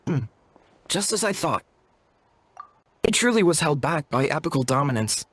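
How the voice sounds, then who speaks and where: A man's voice: fairly high pitch, sing-song, normal speed, a bit bright, slightly rough, exaggerated voice. An actor playing an earnest, courteous and wordy young shaman apprentice in a video game, speaking in a formal, polite manner. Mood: earnest, proud. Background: music.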